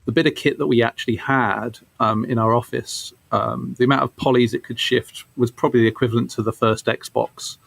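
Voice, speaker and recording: A man's voice: medium pitch, steady tone, a little fast, vocal fry, hardly any pauses, fairly bright tone, dry recording. Middle-aged man in a dry, close recording. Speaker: guest, game studio creative director. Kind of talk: casual interview answers.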